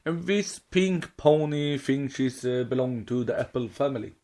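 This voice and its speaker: A man co-hosting a casual fan podcast in halting with loose grammar. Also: mid-range voice, dark tone. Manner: non-native English with loose grammar, halting. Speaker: podcast co-host.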